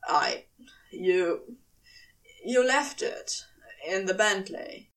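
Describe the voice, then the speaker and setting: A woman's voice: mid-range voice, drawn-out words, distant mic, pitched below the performer's own voice, low-quality recording. A woman playing a guilty, flustered male demon in audio fiction, stammering and thick-voiced as he confesses. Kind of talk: audio fiction character acting.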